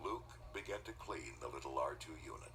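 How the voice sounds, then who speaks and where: A man's voice: a bass voice, expressive, fairly quick, background noise. A man narrating a scripted storybook as a read-along. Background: loud music.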